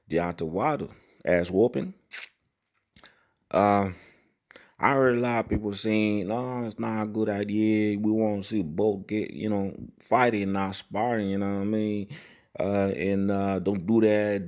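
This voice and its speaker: Low-voiced man ranting about boxing. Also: a little mellow. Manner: profane, repetitive, keeps saying "you know what I mean". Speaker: boxing channel host. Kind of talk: casual vlog commentary.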